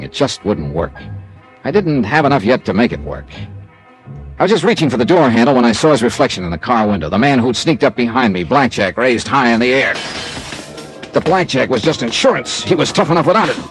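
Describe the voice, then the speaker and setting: A man's voice: mid-range voice, close to an everyday voice, low-quality recording. An actor playing a wry, streetwise American male investigator narrating his case in a clipped, hard-boiled radio drama style. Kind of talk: classic radio detective drama, first-person narration.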